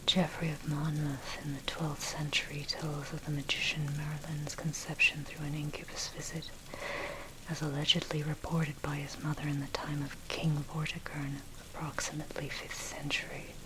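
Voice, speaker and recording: A woman narrates in a breathy ASMR whisper, low and very even in tone. Her reading is measured and scholarly, like someone presenting a history text. Steady, clearly audible rain runs behind her voice. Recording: steady loud rain noise over voice. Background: rain and thunder.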